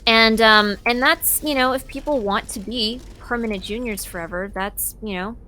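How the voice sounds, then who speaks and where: A woman who is a voice actor, answering interview questions casually and thoughtfully, with hesitant pauses. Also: a little high, pushed and bright, a little hiss or hum. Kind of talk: casual, relaxed conversation.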